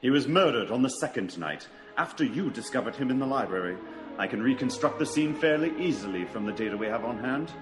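With music clearly behind him, a man plays a confident gentleman sleuth. He reasons aloud in clipped, analytical phrases with lively swings of pitch, as in a classic radio mystery. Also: natural voice. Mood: explaining. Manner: deliberate, analytical, clipped remarks. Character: shrewd, self-assured male gentleman detective. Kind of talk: radio mystery drama acting.

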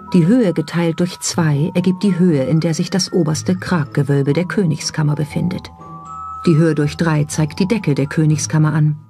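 A documentary narrator reads from a script in a very mellow, relaxed voice. The pitch sits in the middle, and faint music plays behind.

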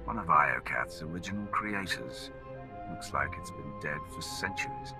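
A man's voice: extremely low pitch, expressive, normal speed. An actor voicing a commanding male Sith warrior who leads an alliance army, speaking in a cold, clipped way in a video game. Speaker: player character, alliance commander. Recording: game audio with music score.

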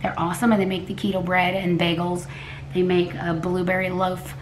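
Hoarse woman in her forties, lowish voice, chatty home vlogger at her table, faint hiss behind. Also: flat delivery, a little fast. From Texas, USA. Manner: chatty and rambling, often restarts, coughs and clears throat. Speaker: cooking vlogger. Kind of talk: casual vlog talk to camera. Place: living room at home, indoors. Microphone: camera a few feet away at a table.

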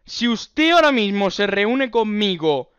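Guy doing a bossy basque cop on a prank call, high clear voice, dry sound, super impatient. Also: big pitch swings, unhurried, a bit bright, no echo, muffled recording, full character voice. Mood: officious, insistent. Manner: officious, insistent, easily exasperated. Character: bossy, short-tempered Basque police officer on the phone. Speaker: prank caller. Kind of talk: improvised phone prank.

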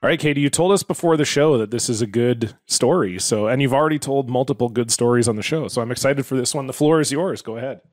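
A man hosting a podcast, bringing in a guest and cutting in with quick questions. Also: mid-range voice, faint music behind. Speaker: podcast host.

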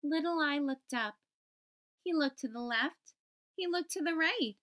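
A woman hosting storytime, reading a children's picture book aloud and enunciating deliberately for young listeners. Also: high voice, lively intonation, fairly bright tone. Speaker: storytime host.

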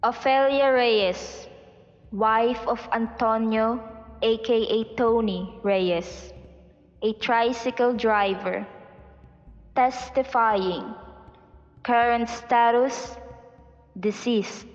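A woman playing a formal court announcer in a radio drama, deliberate and official, in dull, reverberant sound. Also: on the high side. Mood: solemn.

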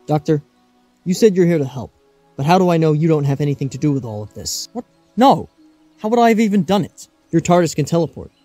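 Man acting an earnest, higher-pitched drama adventurer. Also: lively intonation, fast talker, warm tone, dry recording, faint music behind. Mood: tense, pleading. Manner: dramatic, emotive. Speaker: voice actor performing all parts. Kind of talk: fan-made audio drama acting.

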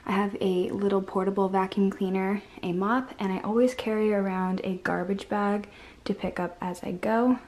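A woman's voice: medium pitch, fairly even intonation, moderate pace, darkish tone. A young woman in a casual vlog voice-over, chatty and upbeat, talking viewers through her cleaning routine step by step. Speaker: home vlogger.

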